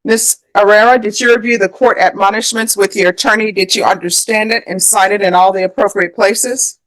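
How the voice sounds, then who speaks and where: A woman's voice: mid-range voice, steady tone, brisk, fairly bright tone, rough recording. An American woman speaking as a presiding judge, putting formal, methodical procedural questions in court. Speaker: judge presiding over a plea hearing.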